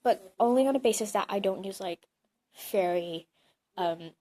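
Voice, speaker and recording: A woman's voice: mid-range voice, normal speed, warm tone. Young woman, chatty and hesitant. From Hong Kong. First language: Cantonese. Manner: thinks aloud, many "like" and "um" fillers. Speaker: interviewee, bilingual student. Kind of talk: casual interview answers.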